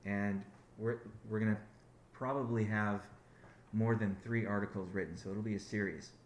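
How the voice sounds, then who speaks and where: A man's voice: fairly low pitch, fairly even intonation, normal speed, echoey room, slight background noise. A man giving a rambling business update on a conference call, often restarting his sentences. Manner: rambling, self-correcting, many restarts. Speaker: company founder on a conference call.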